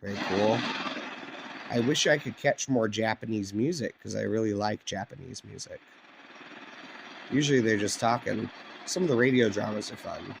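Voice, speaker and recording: A man's voice: lowish voice, normal speed, gravelly, pauses often. An American man giving casual, off-the-cuff commentary as a radio hobbyist, musing and thinking aloud. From United States. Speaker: shortwave radio hobbyist narrating a video. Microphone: handheld cell phone. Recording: noisy phone recording with radio static. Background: shortwave radio static and broadcasts.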